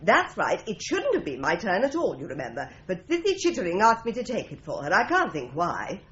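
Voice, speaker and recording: Teasing British secretary, animated intonation. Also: female voice, lowish voice, brisk. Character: sharp-tongued, teasing female office secretary. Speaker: actor in a radio crime drama. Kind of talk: 1980s British radio drama acting. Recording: muffled radio drama recording.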